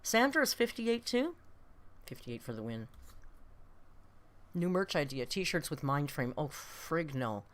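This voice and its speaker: A woman's voice: sits low, very animated, measured pace, pauses often. A woman in her late fifties hosting a casual live stream, chatting with viewers in a rambling, self-deprecating, blunt way with mild swearing. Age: late 50s. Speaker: host of a reaction live stream.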